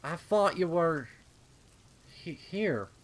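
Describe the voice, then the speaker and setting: A man's voice: on the high side, expressive, drawn-out words, pitched above the performer's own voice. A man voicing a desperate country mare, a grown older sister, in a folksy, stammering drawl for a dramatic story reading. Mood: stunned.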